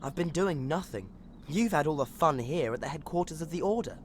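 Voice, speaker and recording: A man's voice: fairly high pitch, expressive, a little fast, slight background noise, natural voice. An actor playing a sullen, sarcastic teenage wizard boy who grumbles in a video game. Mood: resentful, sarcastic. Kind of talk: scripted video game cutscene acting.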